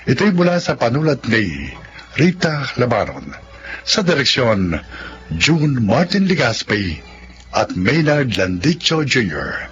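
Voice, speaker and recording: A Filipino man reading scripted narration as a radio drama announcer, in a formal, measured style. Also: mid-range voice, sing-song, a bit bright, a little hiss or hum. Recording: dull lo-fi audio with clear music underneath.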